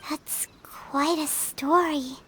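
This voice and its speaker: A woman voicing a tiny, childlike fairy companion in a video game, with a small squeaky voice and an earnest manner. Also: fairly even intonation, extremely slow, a bit bright, faint music behind, exaggerated voice, poor audio. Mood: subdued concern.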